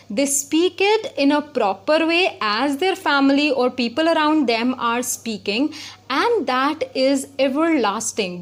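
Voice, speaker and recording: A woman giving a spoken lesson, explaining step by step and repeating her points. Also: high-pitched. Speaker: English teacher.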